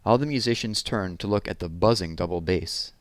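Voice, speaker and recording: Low-voiced man reading a storybook. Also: a little fast, dry recording. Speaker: storybook reader. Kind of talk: children's read-aloud narration.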